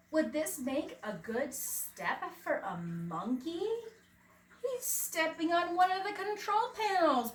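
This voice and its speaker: A woman reads a picture book to small children at a very slow pace. Her intonation swings widely, with dramatic emphasis in a preschool-teacher manner. She sounds distant from the mic, with some faint noise. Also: sits high, reverberant. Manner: expressive, dramatic emphasis, asks young listeners questions. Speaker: preschool teacher reading aloud.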